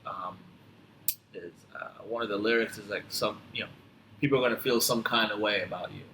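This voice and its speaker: A man from Tanzania who grew up in upstate New York, talking casually and reflectively as a podcast guest, often halting and repeating phrases. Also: on the low side, bright, forceful tone. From born in Tanzania, grew up in upstate New York. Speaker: podcast guest, physical therapist. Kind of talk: casual conversational storytelling. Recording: roomy echo, clear background noise.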